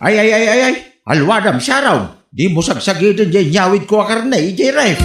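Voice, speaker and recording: A woman's voice: medium pitch, sing-song, moderate pace, natural voice. A woman playing a grumbling, put-upon household member in an Ilocano comedy radio drama, with an Ilocano accent. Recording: echoey room with faint music.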